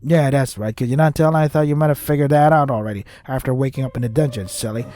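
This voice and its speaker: A man's voice: medium pitch, quick pace, dark tone, natural voice. A man voicing a flippant, spoiled young princess in a visual novel, prim, chatty and self-absorbed. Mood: airy, spoiled.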